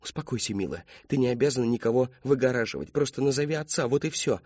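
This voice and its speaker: Guy doing a middle-aged mother, soft, anxious, coaxing, audiobook, dullish sound. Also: medium pitch, animated, fairly quick, husky, no room sound, dull audio.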